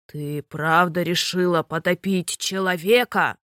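A woman voicing a stern, blustering sea king father who scolds slowly and heavily. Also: steady tone, fairly bright tone, no echo, clean studio sound. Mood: angry scolding. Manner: booming, scolding, drawn-out.

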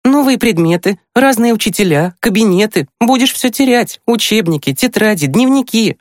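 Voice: mid-range voice, expressive, moderate pace, no echo, clean studio sound, pitched above the performer's own voice, close to an everyday voice. An audiobook narrator voicing a teasing, dramatic teenage girl, the older sister in a children's story. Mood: dire warning. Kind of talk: acted audiobook dialogue.

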